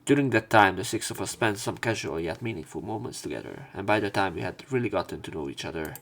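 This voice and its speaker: A man reading visual novel narration aloud as a let's play commentator, with halting casual asides where he corrects himself. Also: low-pitched, expressive, gravelly, a bit bright.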